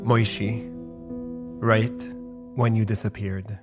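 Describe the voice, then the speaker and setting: Male podcast host; calm, reflective scripted narration over plucked guitar music. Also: on the low side, takes it slowly.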